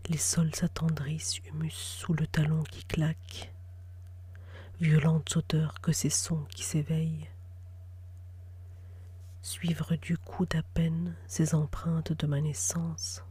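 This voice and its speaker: A woman's voice: low-pitched, level tone, slow pace, mellow, slightly rough. A woman reciting poetry in an intimate whisper. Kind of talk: whispered spoken-word poetry.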